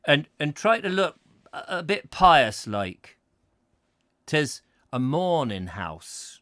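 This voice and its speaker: A man voicing a chatty old male pedlar who talks in a slow, folksy, old-fashioned rural dialect. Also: mid-range voice, sing-song, a bit bright, dry recording, clean studio sound. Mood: hushed.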